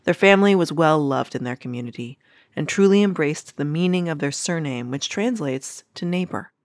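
Woman host, scripted narration, dry close mic, measured delivery. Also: sits low, no echo. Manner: measured, even-paced reading. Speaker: podcast host.

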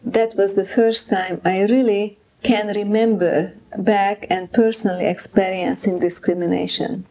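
A woman in her eighties from Czechoslovakia recalling her childhood in an interview, speaking in a measured, reflective way. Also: mid-range voice, level tone, a little mellow, poor audio. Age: 80s. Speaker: interviewee, Holocaust survivor. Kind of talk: recounting memories in an interview.

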